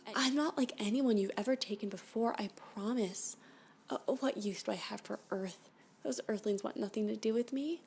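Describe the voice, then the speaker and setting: A woman playing a flirty, clingy alien abductee in an ASMR roleplay, gushing and halting, often dropping to a whisper. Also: medium pitch, vocal fry, darkish tone, close to an everyday voice. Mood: pleading, alarmed. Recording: background noise.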